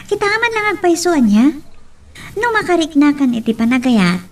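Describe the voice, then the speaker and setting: Woman acting animated, high-voiced teasing young Ilocano woman. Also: moderate pace, far from the mic, faint music behind. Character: Sandra, lively teasing young woman being courted. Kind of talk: Ilocano romance audio drama acting.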